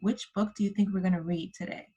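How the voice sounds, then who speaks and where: A woman's voice: fairly low pitch, steady tone, fast talker, mellow, husky, muffled recording. A woman kindergarten teacher leading an interactive online lesson for young children over a video call, encouraging them with simple prompts and endearments. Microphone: computer microphone on a video call.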